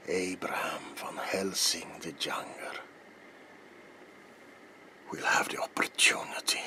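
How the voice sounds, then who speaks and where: A man playing a grave, weary, obsessed older vampire hunter in a theatrical ASMR roleplay, speaking deliberately and dropping into breathy, ominous whispers. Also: deep voice, pushed and bright, heavily acted. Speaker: character performer in roleplay.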